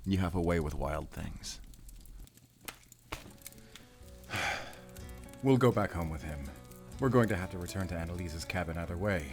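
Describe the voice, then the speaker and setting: A man playing a seasoned, commanding yet tender werewolf hunter in an audio roleplay, measured and resolute. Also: deep voice, a little mellow, faint music behind. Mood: warm, decisive. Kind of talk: cinematic audio roleplay acting.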